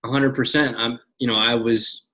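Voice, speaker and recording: A young man in his twenties, a podcast guest talking in an energetic casual way, often repeating and restarting words. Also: medium pitch, normal speed. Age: young adult. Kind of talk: casual interview chat.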